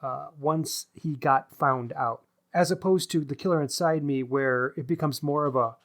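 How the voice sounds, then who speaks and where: A man co-hosting a book podcast, discussing writing analytically in measured, considered phrases. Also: fairly high pitch. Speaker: podcast co-host.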